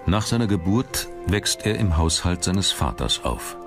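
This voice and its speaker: A man reading scripted documentary narration with measured, dramatic phrasing. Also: low voice, very animated, music in the background.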